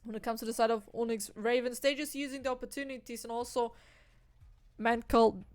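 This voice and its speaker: Woman casting esports, higher voice. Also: a little fast, no room sound. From Austria. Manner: analytical, rising to excited bursts on kills. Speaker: esports caster. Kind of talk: solo play-by-play commentary.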